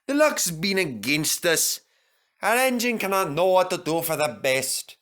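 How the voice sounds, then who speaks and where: A man voicing an excitable, earnest and blunt twin steam engine in a children's story. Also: high-pitched, unhurried, pitched above the performer's own voice. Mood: worried.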